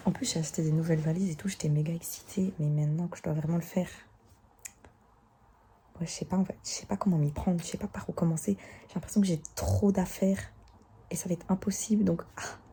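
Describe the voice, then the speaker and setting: A woman's voice: lowish voice, steady tone, fast talker, mellow, slight background noise. A young woman in her early twenties with a Belgian French accent, vlogging casually and mixing in English words and slang. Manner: chatty, sprinkles in English words and youthful slang. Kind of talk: casual vlog with scripted voice-over narration.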